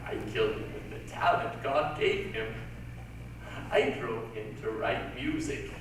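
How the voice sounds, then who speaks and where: A man playing a tormented, obsessive composer in a theatrical stage reading, drawing out his words and swelling into shouts. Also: lowish voice, natural voice. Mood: haunted confession. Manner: dramatic, drawn-out, swelling to shouts. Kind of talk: theatrical readers' theatre monologue. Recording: echoey hall, distant mic, hissy old tape.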